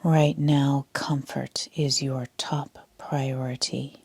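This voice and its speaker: A woman leading a sleep meditation as a yoga nidra instructor, speaking in a soft, half-whispered, calm and soothing way. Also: rumbling low, slow, breathy edge, dry recording.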